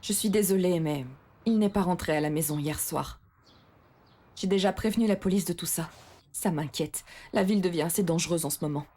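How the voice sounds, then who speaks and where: A woman voicing a gentle, worried middle-aged mother in a French anime fan dub, polite and soft-spoken. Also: fairly low pitch, brisk, natural voice.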